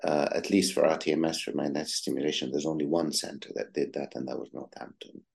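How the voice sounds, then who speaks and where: A man's voice: rumbling low, fairly even intonation, fast talker, creaky, a little mellow. A middle-aged man from Egypt, a psychiatrist answering interview questions with many hesitant ums and uhs. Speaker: psychiatrist guest.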